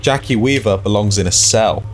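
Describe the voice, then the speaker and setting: A man with a lowish voice co-hosts a let's-play. He talks casually, drops dry jokes and reads the game's text aloud. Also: moderate pace, faint music behind. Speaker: gaming video co-host.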